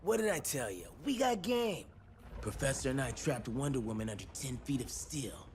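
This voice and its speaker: A man's voice: mid-range voice, sing-song, moderate pace, a little hiss or hum. A young man acting a brash, cocky but earnest superhero in a video game cutscene. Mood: cocky, defiant. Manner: cocky, quippy, colloquial. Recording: loud cinematic music behind.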